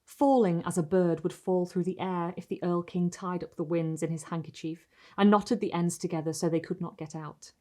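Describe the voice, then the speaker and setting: A woman reading a gothic short story aloud, measured and expressive, lingering on phrases. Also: on the low side. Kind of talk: literary audiobook-style narration of gothic fiction.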